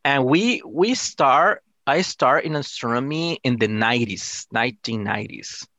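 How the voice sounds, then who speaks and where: Creaky-voiced Salvadoran man, halting English. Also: medium pitch, expressive, pushed and bright, no room sound. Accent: Salvadoran Spanish. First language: Spanish. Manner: halting English with repeated words and rephrasing. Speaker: interview guest, amateur astronomer. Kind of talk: casual interview answers.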